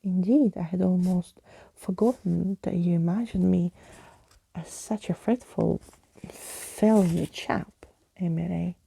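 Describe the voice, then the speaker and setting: A woman voicing a fretful, pompous living skeleton in a softly read storybook, with wordy, indignant old-fashioned phrasing. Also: fairly low pitch, slow, creaky, slightly rough, dry recording, exaggerated voice, poor audio. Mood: polite, rueful.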